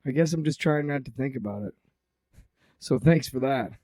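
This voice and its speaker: A man acts out a guarded young super-powered clone in an audio drama. His voice is mid-pitched and deadpan, recorded dry and close. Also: normal speed, no echo, lightly acted.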